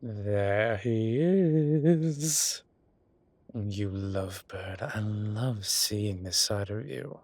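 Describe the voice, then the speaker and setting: Urbane theatrical employer played by a man, arch and fondly teasing, very unhurried drawl, dry sound, audio drama. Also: lowish voice, darkish tone, no room sound, heavily acted. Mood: amused, teasing. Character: urbane, playful male boss, fond and theatrical.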